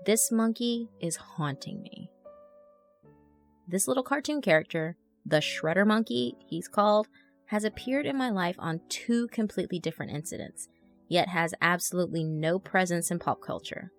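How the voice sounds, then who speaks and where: Woman reading as a low-voiced, chatty young blogger. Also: moderate pace, close to an everyday voice. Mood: chatty, uneasy. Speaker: narrator. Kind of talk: scripted horror story narration. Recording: music under the voice. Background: background music.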